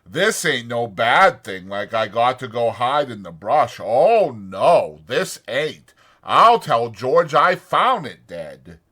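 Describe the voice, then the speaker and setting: A man voicing a huge, childlike, simple-minded man in an audiobook, slow and plaintive with folksy uneducated speech. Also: medium pitch, big pitch swings, bright, forceful tone, breathy edge, full character voice. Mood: worried, pleading. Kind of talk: dramatized audiobook character acting.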